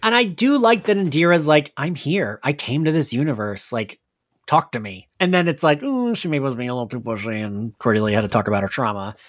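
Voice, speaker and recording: Guy co-hosting a podcast recap, chatty n giggly, lively pitch, kinda hesitant. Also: fairly quick. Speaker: podcast co-host. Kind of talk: casual recap discussion of an audio drama.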